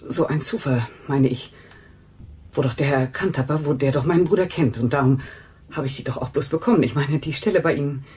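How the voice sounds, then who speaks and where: Chatty, naive housekeeper. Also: female voice, sits low, brisk, mellow, slightly rough. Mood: chatty, artless. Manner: rambling, simple, self-correcting. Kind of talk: German radio crime drama acting.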